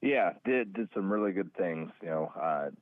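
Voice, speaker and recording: An American man, a high school football coach, giving a plainspoken, halting interview on a pregame radio show. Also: animated, no echo, narrow, tinny audio.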